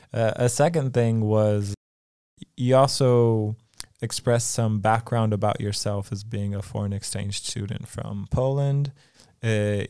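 A man hosting a casual interview, relaxed and playful. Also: lowish voice, smooth, dry recording, studio quality. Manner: relaxed, playful, plays along in role-play. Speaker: host.

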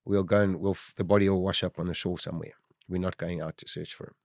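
A South African man in his fifties telling his own survival story in a loud, animated way. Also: fast, gravelly, dry recording, clean studio sound. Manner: loud, animated storytelling with big pitch jumps. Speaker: guest storyteller.

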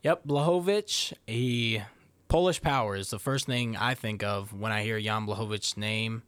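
Man, podcast co-host, dry close sound, hesitant, thinks out loud. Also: fairly low pitch, unhurried, clean tone, dry recording. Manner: thinking aloud, hesitant, restarts often. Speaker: podcast co-host, fight analyst. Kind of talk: casual sports podcast breakdown.

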